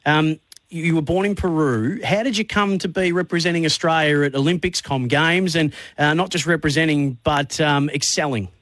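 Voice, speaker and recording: A man's voice: on the high side, moderate pace, a bit bright, no echo. A man hosting a radio interview, enthusiastic, asking long build-up questions with fillers. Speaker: radio host.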